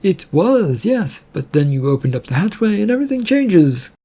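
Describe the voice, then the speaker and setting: A man's voice: sits high, sing-song, moderate pace, warm tone, breathy edge, voice pushed up, exaggerated voice. A man voicing an evasive, nagging ship's computer in an audio story, with a hesitant, insinuating way of talking.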